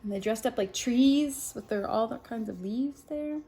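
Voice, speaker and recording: A woman's voice: a little high, normal speed, warm tone. A woman reading a picture book aloud at story time, expressively, with little asides to a small child.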